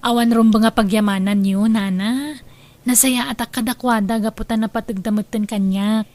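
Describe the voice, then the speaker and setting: An actress playing a caring, sweet younger woman in an Ilocano radio drama, with an earnest, expressive delivery. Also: fairly high pitch, normal speed, close to an everyday voice. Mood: sincere.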